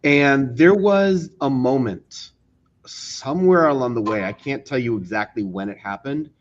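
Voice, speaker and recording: A man's voice: mid-range voice, a little slow, highs cut off. A man speaking as an interview guest, chatting casually and telling stories. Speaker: interview guest, game developer. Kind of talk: casual chat and storytelling.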